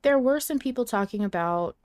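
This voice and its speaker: A woman's voice: a little high, expressive, fairly quick, a little mellow, dry recording, studio quality. A woman co-hosting a fan podcast in a casual, informal discussion style, swearing freely. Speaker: podcast co-host.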